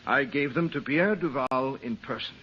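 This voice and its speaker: An actor in an old-time radio drama playing an earnest, principled scientist who speaks with urgency. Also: male voice, mid-range voice, moderate pace, bright, forceful tone, lightly acted. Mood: stern, reproachful. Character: earnest, principled male scientist, pleading, trusting. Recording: dull, noisy old radio recording.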